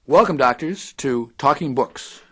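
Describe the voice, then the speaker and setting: Earnest radio interviewer, played by a man, polite, rough-edged medium male voice, scripted radio play. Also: medium pitch, animated, a little slow, a bit bright. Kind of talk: scripted audio drama interview.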